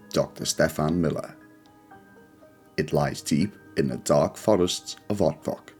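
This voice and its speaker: A man hosting a channel and reading scripted ghost stories in a measured, solemn way. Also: a bass voice, darkish tone. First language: not Polish; speaks English. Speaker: channel host and narrator. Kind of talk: scripted ghost story narration.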